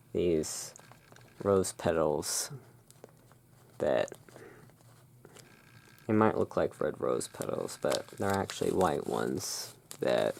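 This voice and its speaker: A person speaking in a halting, musing way, explaining things as the owner of a gothic curiosity shop in an ASMR roleplay. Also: low-pitched, creaky, darkish tone. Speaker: roleplaying host. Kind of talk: ASMR roleplay, showing tarot cards.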